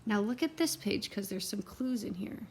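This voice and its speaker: A woman reading a picture book aloud to young children in an expressive way, with simple asides to her listeners. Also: mid-range voice, normal speed, a little hiss or hum. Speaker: read-aloud teacher and storyteller.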